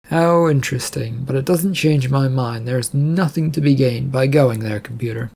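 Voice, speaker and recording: A man's voice: medium pitch, moderate pace, creaky, a little mellow, breathy edge. A man voicing a weary, stubborn male second-in-command of a ship, skeptical and resigned, in an audio story.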